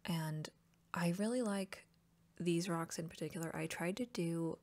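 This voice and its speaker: A young woman talking softly, often dropping into whispers, her voice still scratchy from a recent cough, chatting in a relaxed way. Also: lowish voice, measured pace. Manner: relaxed and chatty, with soft laughs. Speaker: ASMR artist painting while chatting. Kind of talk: soft-spoken ASMR art chat, sliding into whispers.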